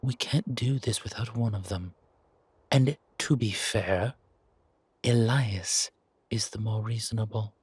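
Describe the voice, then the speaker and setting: Casting: male actor as condescending gentleman leader for audio drama, very raspy and low, measured, with veiled threat. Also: dry recording, exaggerated voice. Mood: persuading. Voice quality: husky, gravelly. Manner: measured, condescending, softly chiding. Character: older-mannered founder, genteel, patronizing, quietly menacing man. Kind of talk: audio roleplay drama acting.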